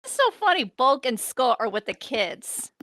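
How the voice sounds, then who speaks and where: Woman, high voice, lively intonation, dry recording, casual movie commentary. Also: unhurried, no room sound. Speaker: commentary panelist. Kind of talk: casual chat, film commentary.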